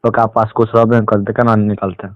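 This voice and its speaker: A man's voice: fairly low pitch, flat delivery, fairly quick, dry recording. A man with a Bihari accent from Mithila, talking informally on a recorded phone call. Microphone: telephone.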